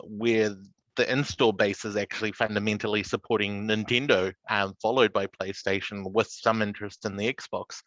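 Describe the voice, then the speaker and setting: A man's voice: lowish voice, fairly bright tone, no room sound, muffled recording. A man explaining his views informally in a casual podcast discussion. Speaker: podcast panellist.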